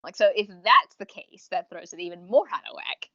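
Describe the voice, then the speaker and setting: Bright, talkative woman in casual fan chat. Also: on the low side, animated, a little fast, no echo, muffled recording. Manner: rambling, talkative, slangy, acts out imagined remarks. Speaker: podcast co-host reviewing audio dramas.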